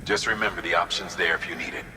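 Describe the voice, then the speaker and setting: A man playing a calm mission coordinator who gives steady, instructive briefings in a game. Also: deep voice, quick pace, natural voice. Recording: roomy, echoey with faint noise.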